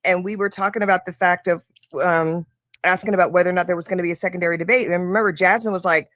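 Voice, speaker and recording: A woman's voice: fairly low pitch, steady tone, rapid-fire, a bit bright, no echo, clean studio sound. An American woman in a casual political chat, recounting things conversationally and acting out quotes. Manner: conversational, recaps with acted quotes.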